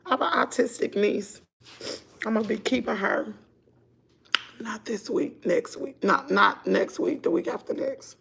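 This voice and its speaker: A middle-aged woman with a Southern US accent, chatting hoarsely to her viewers at home on a phone livestream. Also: on the high side, measured pace, a bit bright. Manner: chatty, laughing, drawling, talks to "y'all". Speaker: vlogger on a morning livestream. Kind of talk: casual chat with viewers. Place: at home indoors.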